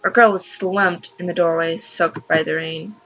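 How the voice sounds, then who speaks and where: A woman hosting a gaming video, reading a story aloud and adding casual, excitable asides. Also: fairly low pitch, moderate pace, low-quality recording. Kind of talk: let's play commentary, reading story aloud.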